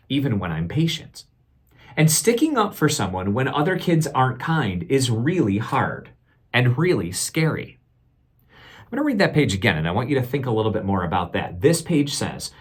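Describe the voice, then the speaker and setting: Expressive male storytime reader, slightly husky. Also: mid-range voice. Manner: expressive, deliberate, instructive. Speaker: teacher reading a picture book aloud to children. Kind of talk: storytime read-aloud with questions to listeners.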